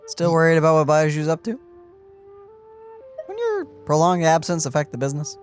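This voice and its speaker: A man's voice: fairly high pitch, huge pitch swings, normal speed, soft background music. A man giving casual, offhand commentary as he co-hosts a gaming playthrough. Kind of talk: casual let's play commentary.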